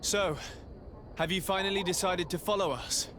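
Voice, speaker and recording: A man's voice: sits high, normal speed, background noise, natural voice. A man acting as a stern emissary of a merchant state in a video game, speaking formally and with measure.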